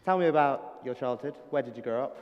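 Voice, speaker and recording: A man's voice: mid-range voice, fast, dull audio. A man interviewing a guest in a casual, joking way. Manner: playful, joking questions. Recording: long hall reverb.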